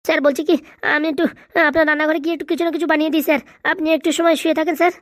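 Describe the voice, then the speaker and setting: A woman's voice: high-pitched, fairly even intonation, racing, no echo, clean studio sound, natural voice. A woman voicing an eager, devoted male helper in a comic cartoon, deferential and fretful.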